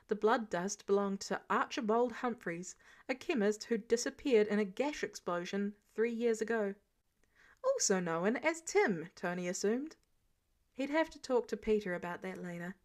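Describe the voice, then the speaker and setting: A woman reading a scripted story as an audiobook narrator, expressively and acting out the dialogue. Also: medium pitch. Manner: acts out dialogue. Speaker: audiobook narrator of fan fiction.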